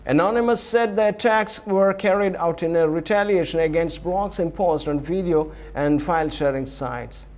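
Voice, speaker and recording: A man's voice: fairly high pitch, moderate pace, hardly any pauses. A man reading a scripted news bulletin in a formal announcer manner. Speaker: news reader.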